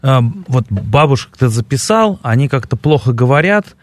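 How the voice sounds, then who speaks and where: A man's voice: mid-range voice, very animated, normal speed, slight background noise. A man hosting an educational radio talk show in a studio, speaking with frequent hesitations and filler sounds. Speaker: radio host. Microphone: studio microphone.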